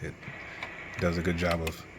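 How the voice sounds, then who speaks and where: A man's voice: sits low, completely flat delivery, normal speed, noisy background. A man giving a casual, rambling, unscripted hands-on radio gear review in a matter-of-fact way. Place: radio bench, indoors.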